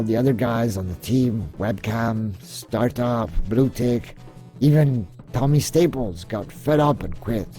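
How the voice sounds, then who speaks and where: Give me a man as a deadpan, mellow desk soldier. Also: mid-range voice, close to an everyday voice. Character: earnest, wistful human G.I. Joe paperwork officer. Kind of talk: amateur comic dub voice acting.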